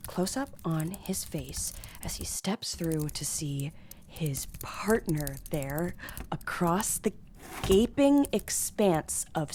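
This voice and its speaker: A woman's voice: low-pitched, dark tone, slight background noise, full character voice. A woman acting a young filmmaker who narrates an imagined film scene in a dreamy, whispery voice for a stage play. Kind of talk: dramatic scene description in a play.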